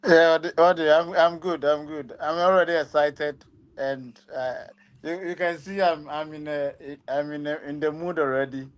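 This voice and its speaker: A man's voice: a little high, steady tone, normal speed, clear, resonant tone. A man from Ghana, a company founder answering interview questions earnestly, with many ums. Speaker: travel agency founder.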